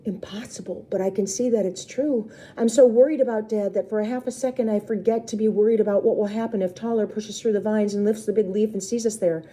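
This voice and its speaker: A woman's voice: mid-range voice, steady tone, quick pace, hardly any pauses, mellow, low-quality recording. A woman storyteller reading a children's book aloud expressively, with brief explanatory asides to listeners.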